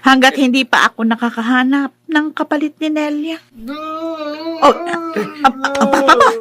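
A woman's voice: high voice, fairly even intonation, normal speed, fairly bright tone. A woman with a Filipino accent playing a bossy, suspicious middle-aged stepmother in a radio drama, scolding and theatrical. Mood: bossy, flustered. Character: haughty, suspicious, bossy mother and cruel stepmother.

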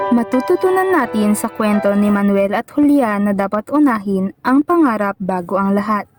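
A woman's voice: mid-range voice, expressive, moderate pace. A woman giving scripted storytelling narration for a radio drama. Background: loud music.

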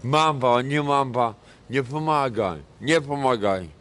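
A middle-aged man from Poland, high and rambling, swearing a lot in a casual talk on a city street at night. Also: slow pace, fairly bright tone. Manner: crude and sweary, rambling, mixes in broken English. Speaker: homeless man interviewed on the street. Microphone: vlogger's handheld camera mic.

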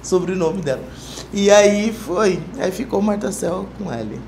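Podcast guest, Brazilian woman, mid-pitched, easygoing conversational storytelling. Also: expressive, unhurried, creaky, a little mellow, slight background noise. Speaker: guest, samba singer. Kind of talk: casual anecdotal chat. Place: small wood-panelled TV studio, indoors.

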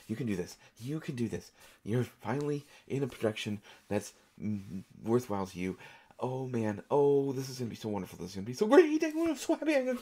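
A man acts out an over-caffeinated young hopeful of an actor in a solo audio roleplay. He is giddy and anxious, tripping over and repeating his words. His mid-pitched voice leaps up and down with great animation. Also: a little mellow, breathy edge. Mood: giddy, nervous. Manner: stammering, breathless rambling, repeating words. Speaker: online audio roleplay performer. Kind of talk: solo audio roleplay acting, one side of a conversation.

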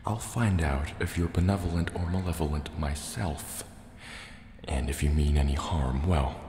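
Menacing sea serpent dragon, played by a man, soft ASMR delivery, slow and threatening, in a reverberant, echoing cave with water sounds. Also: extremely low pitch. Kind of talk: soft-spoken ASMR roleplay. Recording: echoey cave reverb, faint noise. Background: water and cave ambience.

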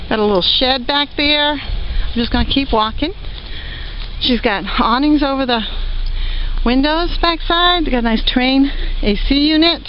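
A woman's voice: high voice, slight background noise. A woman giving an upbeat, chatty walking tour of a house, with lots of fillers, recorded on a handheld camera mic as she moves indoors and out. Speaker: real estate auction previewer. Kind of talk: walking property tour commentary. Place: indoors and outdoors around a house. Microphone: handheld camera mic while walking. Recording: dull lo-fi camera audio.

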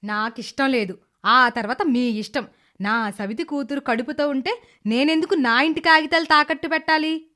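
A cruel middle-aged stepmother from a cartoon moral tale, played by a woman, speaks in a high voice. She scolds with scorn and sarcasm, sounding resentful and calculating. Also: moderate pace, pushed and bright. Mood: angry, defiant. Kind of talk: animated moral story character dialogue.

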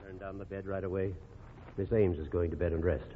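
An actor playing a stern, commanding, grave doctor in a 1940s radio drama. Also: male voice, sits low, steady tone, fairly quick, slightly rough. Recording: echoey, muffled vintage radio recording.

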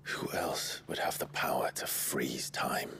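An actor playing a brooding, resolute warrior hero in a fantasy game, with a terse, grave delivery. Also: male voice, extremely low pitch, unhurried, vocal fry, fairly bright tone, whispered, heavily acted. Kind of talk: dramatic fantasy video game acting.

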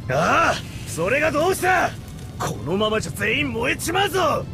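An actor playing a brash, startled fighting man in an anime game, talking in a rough, excitable way. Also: very high voice, moderate pace, full character voice. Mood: alarmed. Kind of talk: Japanese anime game voice acting. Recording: music behind the voice.

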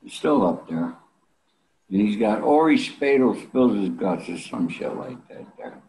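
An elderly man talking casually and bluntly as an interview guest, salty and pausing often, on a video call from a room at home. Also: fairly low pitch, lively intonation, darkish tone, slight background noise, rough recording. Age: 70s or older. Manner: blunt, salty, with frequent pauses.

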